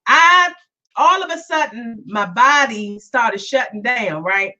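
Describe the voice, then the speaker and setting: A woman's voice: a little high, lively intonation, slow pace, pushed and bright. A woman hosting her own podcast live, chatting casually and repeating herself, her voice still weak from being sick, greeting listeners by name. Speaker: podcast host. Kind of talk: casual live-stream chat. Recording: dull lo-fi sound, a bit far.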